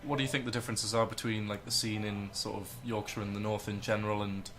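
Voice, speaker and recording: A man's voice: on the low side, level tone, fast, music in the background. A young man presenting a radio show and interviewing a comedian, hesitant and full of fillers. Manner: hesitant, lots of uh and sort of.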